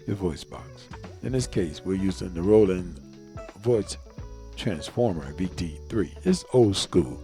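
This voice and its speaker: A man's voice: sits low, dark tone. A man giving a casual step-by-step tutorial as a video creator, rambling and often repeating himself.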